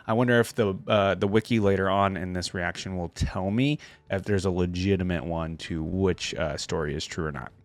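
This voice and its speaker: A man's voice: low-pitched, steady tone, normal speed, hardly any pauses, no echo. A man hosting a reaction video, moving between reading stories aloud and informal, conversational commentary. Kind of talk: casual reaction commentary mixed with story read-aloud.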